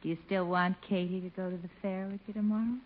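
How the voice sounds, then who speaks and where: An actress playing a coy, flirtatious American sweetheart in a 1950s radio situation comedy, with theatrical cooing. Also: female voice, fairly low pitch, little pitch movement, fast talker, natural voice. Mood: tender, cooing. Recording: thin, phone-like 1950 radio broadcast.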